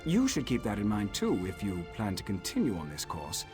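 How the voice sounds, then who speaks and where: An actor playing a cocky, sardonic assassin in a fantasy video game, talking in a wry, casual, sly way. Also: male voice, fairly low pitch, wildly swooping pitch, moderate pace, over music. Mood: wry, matter-of-fact. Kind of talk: video game character acting.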